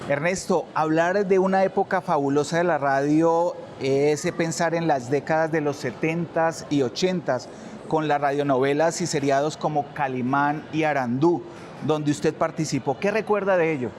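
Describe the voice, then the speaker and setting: Higher-voiced male interviewer. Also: normal speed, a little mellow, slight background noise. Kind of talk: formal interview questions.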